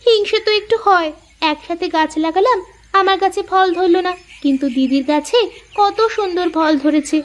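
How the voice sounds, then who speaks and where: Woman doing a high-pitched pert young wife. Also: moderate pace. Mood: envious, hurt. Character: young daughter-in-law, chirpy, pert and outspoken. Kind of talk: dubbed moral cartoon dialogue.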